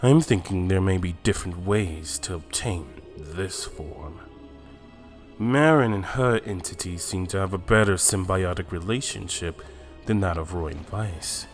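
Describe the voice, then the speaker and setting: A man performs a powerful anime fighter with a low voice and a smug, amused calm. He schemes aloud slowly and deliberately, with faint music underneath. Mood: amused, musing. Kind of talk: anime fan-drama acting.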